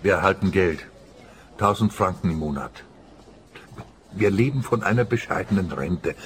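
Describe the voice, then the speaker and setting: Low-voiced uneasy old pensioner. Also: male voice, a little hiss or hum. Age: older. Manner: measured, troubled, resigned. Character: uneasy, earnest male pensioner, henpecked husband. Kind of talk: German-language radio play dialogue.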